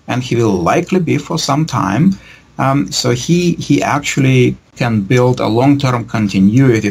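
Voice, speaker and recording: Male, middle-aged, professor type for interview answers: rough husky tone with vocal fry, measured and hesitant academic delivery. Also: mid-range voice. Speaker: history professor being interviewed. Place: book-lined office, indoors. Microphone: video call computer microphone.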